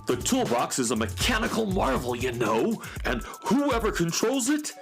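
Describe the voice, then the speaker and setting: A man's voice: high-pitched, big pitch swings, normal speed, vocal fry, soft background music, heavily acted. A man playing an eccentric handyman of the galaxy, part scientist and part magician, fussy and theatrical, in a children's radio drama. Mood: grave.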